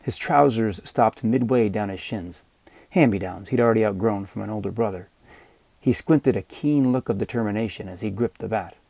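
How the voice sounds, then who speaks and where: A man narrating scripted fiction as an audiobook reader, with measured, dramatic storytelling. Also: fairly low pitch, gravelly, mellow, husky, no echo.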